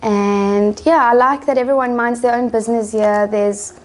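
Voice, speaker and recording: A woman from South Africa, an English teacher, giving soft-spoken, reflective interview answers. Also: fairly high pitch, fairly even intonation, moderate pace.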